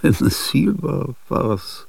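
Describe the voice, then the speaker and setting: Gravelly old man, halting whispers. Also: mid-range voice, big pitch swings, a little mellow, dry recording, recorded from a distance. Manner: halting, dreamlike monologue slipping into whispers. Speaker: radio drama actor. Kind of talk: radio play acting.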